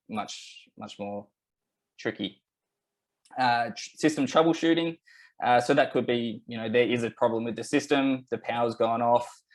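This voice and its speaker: Australian male presenter, mid pitch, loose and relaxed, lots of ums, computer mic. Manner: relaxed, many fillers and restarts. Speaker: webinar presenter. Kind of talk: presenting a technical webinar.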